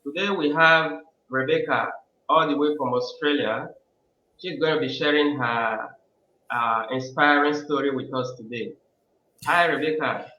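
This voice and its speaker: Male live-stream host, crisp voice, muffled recording, welcoming, lots of fillers. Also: on the high side, measured pace, bright, forceful tone, reverberant. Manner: welcoming, uses frequent fillers.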